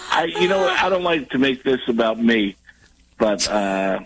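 A man's voice: medium pitch, sing-song, moderate pace, fairly bright tone, slight background noise, poor audio. A man with a cold, calling in by phone as a guest columnist, talking in a wry, self-mocking way. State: has a cold. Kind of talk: radio call-in chat. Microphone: phone line.

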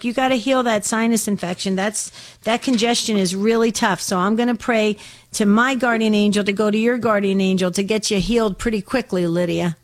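A woman hosting a faith-based call-in show speaks at an even middle pitch into a studio microphone. She encourages her callers warmly and often restarts her sentences mid-thought. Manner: warm, encouraging, quick asides with many restarts. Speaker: radio call-in host.

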